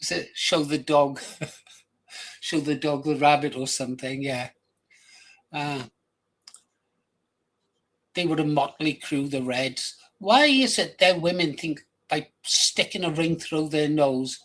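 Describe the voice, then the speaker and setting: Older Welsh-accented male host with a slightly high voice, blunt and rambling, off-the-cuff talk to camera. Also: takes it slowly, long pauses, a bit bright, low-quality recording. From England, raised in Wales from age ten. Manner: blunt, crude, rambling with restarts. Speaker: channel host. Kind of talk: casual rambling monologue.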